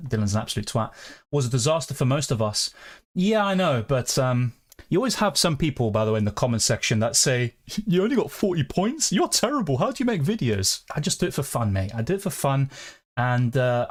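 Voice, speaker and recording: A young man chatting casually on a live stream, rambling and reading out chat messages. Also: mid-range voice. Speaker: fantasy football streamer.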